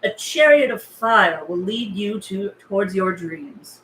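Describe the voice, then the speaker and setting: A woman's voice: medium pitch, lively intonation, takes it slowly, a bit bright, echoey room, slight background noise, rough recording. A woman playing a motherly female radio preacher with a California teen lilt, in a script read. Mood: tender, salesy. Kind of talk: table read of a film script.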